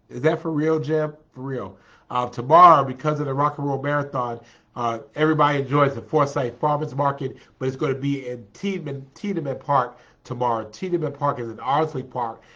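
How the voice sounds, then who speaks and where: A man's voice: medium pitch, fairly bright tone. Male livestream host, congested and nasal, chatty, plenty of fillers, dull lo-fi sound. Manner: chatty and encouraging, with many fillers. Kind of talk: casual livestream chat greeting viewers.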